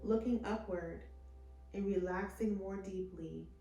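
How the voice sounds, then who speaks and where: A woman narrating a guided meditation in a soothing, deliberate way, with phrases that trail off softly at the end. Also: mid-range voice, steady tone. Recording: roomy echo, music behind the voice. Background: meditation music.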